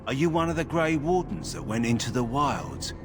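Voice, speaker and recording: Earnest game hound keeper, male actor, polite, concerned, medium pitch. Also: big pitch swings, normal speed, music in the background, natural voice. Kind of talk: video game acting.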